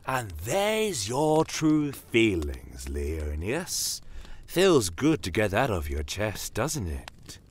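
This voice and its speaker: A man voicing a shrewd, bitter non-human desert commander in a dramatic audio story, speaking in a measured, theatrical and courteously menacing way. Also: on the low side, wildly swooping pitch, slightly rough, pitched above the performer's own voice, full character voice. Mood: pointed, probing. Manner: measured, theatrical, pointed rhetorical questions. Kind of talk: dramatic first-person audio story narration. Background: battle aftermath ambience.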